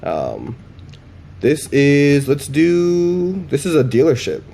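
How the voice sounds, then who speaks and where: A man's voice: fairly high pitch, big pitch swings, takes it slowly, creaky, warm tone, a little hiss or hum. A man hosting a demo video in a casual, laid-back, informal way, like a chatty tutorial. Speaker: video host demonstrating AI voice agents.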